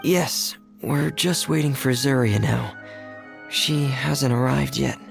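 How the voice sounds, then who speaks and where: A man voicing a shy, gentle, earnest teenage boy in a video game, soft-spoken, hesitant and polite. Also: medium pitch, slow, soft background music. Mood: friendly. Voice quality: soft, slightly creaky.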